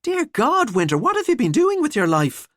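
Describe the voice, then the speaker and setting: A woman's voice: sits high, huge pitch swings, moderate pace, no echo, pitched above the performer's own voice. A woman voicing a cheeky teenage schoolgirl in an audiobook, teasing and mock-dramatic. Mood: mock outrage.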